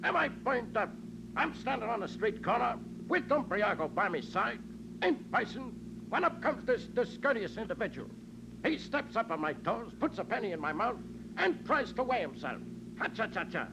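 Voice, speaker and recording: An actor playing a boastful, slangy cab driver who hams up impressions in 1940s radio comedy style. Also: male voice, very high-pitched, sing-song, normal speed, creaky, exaggerated voice. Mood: brash, showing off. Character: wisecracking cabbie doing hammy celebrity impressions. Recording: noisy muffled old radio recording.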